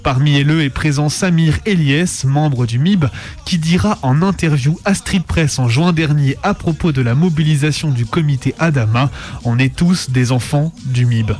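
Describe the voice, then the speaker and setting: A man's voice: on the high side, normal speed, darkish tone. Man narrating radio over music. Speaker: radio host. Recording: music behind the voice. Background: music bed.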